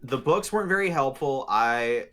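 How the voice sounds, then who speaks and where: A man's voice: mid-range voice, animated, normal speed, a bit bright. A man chatting casually as a player during a tabletop roleplaying session.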